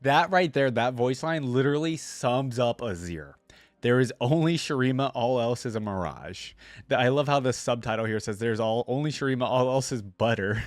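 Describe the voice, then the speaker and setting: Wry, animated man reacting, dry sound. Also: medium pitch, moderate pace, no echo. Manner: wry, teasing asides to what he hears. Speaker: reaction video host. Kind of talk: casual reaction commentary.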